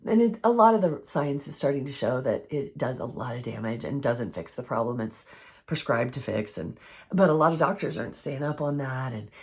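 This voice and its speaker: A woman with a froggy, hoarse voice from recent illness, giving an earnest, conversational faith-based health teaching. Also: extremely low pitch, animated, brisk. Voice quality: froggy, strained voice.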